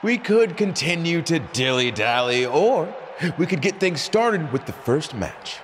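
Showy wrestler in a game, slowww talker, wildly up n down. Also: male voice, a little high, sing-song, noisy background. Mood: playful. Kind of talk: wrestling game cutscene acting.

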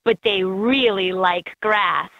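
A woman reading scripted educational narration for a children's game in an expressive, instructive way. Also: medium pitch, slow pace, no echo. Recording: slightly dull, lo-fi game audio.

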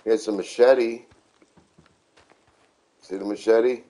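A middle-aged man giving casual, unhurried live game commentary, repeating himself as he explains. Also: lowish voice, fairly even intonation, mellow. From New York City, United States. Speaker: video game streamer.

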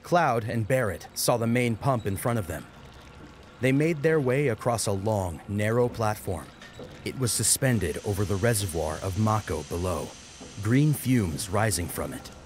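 Casting: male audiobook narrator, low and lively, dramatic scripted delivery over a music bed. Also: a little slow.

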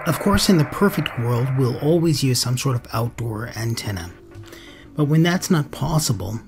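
A man's voice: mid-range voice, darkish tone. Male radio-hobby narrator over music. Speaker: amateur radio channel host. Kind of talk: scripted tutorial narration.

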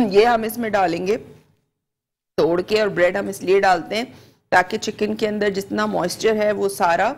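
Woman, 40s, Pakistani Urdu accent, studio cooking show, lapel mic, step-by-step instruction, mid pitch. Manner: patient step-by-step instruction, repeats each ingredient. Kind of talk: live TV cooking demonstration. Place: TV studio kitchen set.

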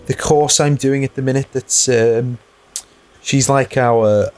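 A man's voice: mid-range voice, steady tone, slow, darkish tone. A young man making casual banter as co-host over gameplay. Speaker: let's play co-host.

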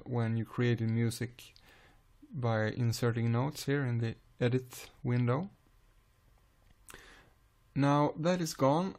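A man with a Swedish accent calmly explaining music software in a screen-recorded tutorial, pausing often with "uh". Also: mid-range voice. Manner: calm, unhurried explaining with frequent "uh" pauses.

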